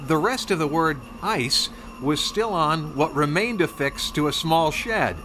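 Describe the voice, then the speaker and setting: A man's voice: fairly high pitch, expressive, measured pace, pushed and bright, a little hiss or hum. A man narrating a scripted story dramatically, with conversational asides. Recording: music under the voice.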